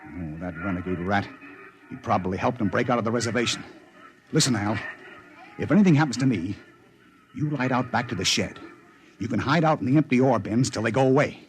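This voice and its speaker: A man playing a gruff, protective frontier father, terse and commanding, in 1950s radio drama style. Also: mid-range voice, a little fast, pauses often, lightly acted. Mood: grim, tense. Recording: noisy old radio drama recording.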